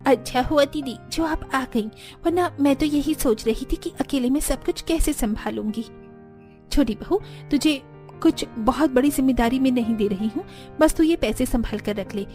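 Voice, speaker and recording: A woman's voice: high-pitched, moderate pace, dark tone, soft background music, natural voice. A woman voicing a middle-aged aunt and bride's mother in a cartoon, earnest and explaining. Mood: earnest, relieved. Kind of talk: Hindi cartoon voice acting.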